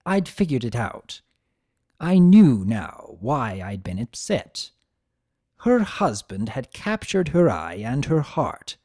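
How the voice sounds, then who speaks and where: A man voicing a grumpy, possessive, secretly tender chaos creature who narrates his own story in a sardonic, expressive dramatic reading. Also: mid-range voice, no room sound, studio quality, heavily acted. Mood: annoyed. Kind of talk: first-person dramatic reading of fan fiction.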